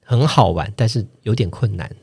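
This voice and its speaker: A man with a Taiwanese Mandarin accent and clearly enunciated, standard pronunciation, answering interview questions in a reflective, explanatory way as a voice actor. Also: fairly low pitch, expressive, normal speed, a little mellow, dry recording, studio quality. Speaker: voice actor, podcast guest.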